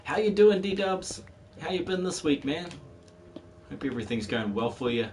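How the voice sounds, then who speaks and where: A man's voice: on the high side, sing-song, normal speed, darkish tone, a little hiss or hum. A man in his forties chatting casually while streaming a game, rambling and laughing easily, his voice still a bit worn from a cold. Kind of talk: casual chat while gaming.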